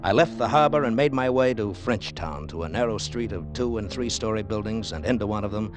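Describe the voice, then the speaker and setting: Guy playing tough broke adventurer, old radio show, low wry voice, clipped, faint music under it. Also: fairly quick, natural voice. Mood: wry, bitter. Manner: clipped, dry, world-weary. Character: broke, hard-boiled American male adventurer, tough and wry. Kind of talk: 1940s-50s radio drama acting and narration.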